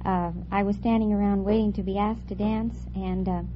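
A woman's voice: mid-range voice, steady tone, moderate pace, crowd noise. A woman from Brooklyn with an American accent, chatting as a contestant on an old radio quiz show. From Brooklyn, New York. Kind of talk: chatty interview answers on radio. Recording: muffled old radio recording, loud audience noise.

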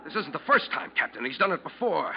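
An actor playing a blunt, confrontational ship's officer in a 1940s radio drama. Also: male voice, a little high, sing-song, quick pace, slightly rough, heavily acted. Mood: indignant. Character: indignant, insistent male ship's officer. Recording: dull, noisy old radio recording.

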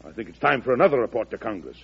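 Husky, indignant president, old radio. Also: male voice, mid-range voice, big pitch swings, very fast, exaggerated voice. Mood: firm. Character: stubborn, commanding American president, firm and indignant. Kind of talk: old-time radio drama acting. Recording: dull vintage radio recording.

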